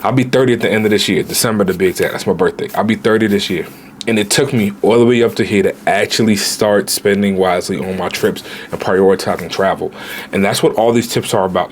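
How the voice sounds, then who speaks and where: A man in his late twenties, a travel vlogger giving casual, animated budget travel advice straight to viewers, with slang. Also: fairly low pitch, brisk, a little hiss or hum. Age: 20s.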